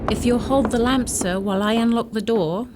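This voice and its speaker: A woman's voice: on the high side, normal speed, lightly acted. An actress playing an elderly servant woman in a radio drama, deferential, grave and matter-of-fact. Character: long-serving elderly female housekeeper, deferential, grave. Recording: faint background noise.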